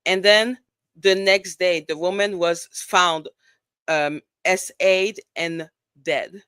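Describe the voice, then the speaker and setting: A woman's voice: lowish voice, steady tone, slow pace, fairly bright tone, clear, resonant tone, dry recording. A woman from Montreal, Canada, chatting casually as a panelist and telling anecdotes in a conversational way. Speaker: panelist on an online show.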